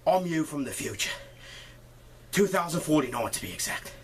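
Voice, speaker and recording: A man's voice: on the high side, big pitch swings, normal speed, a little hiss or hum. A man putting on a foul-mouthed future self with an accent. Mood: dismissive. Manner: put-on foreign accent, swearing, scolding.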